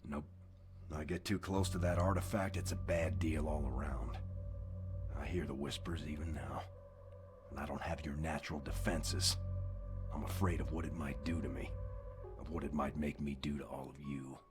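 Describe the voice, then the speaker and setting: An actor playing a grizzled older occult hunter in a video game cutscene, gruff, brooding and portentous. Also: male voice, very deep voice, fairly even intonation, normal speed. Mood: grave explaining. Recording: music under the voice. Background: music.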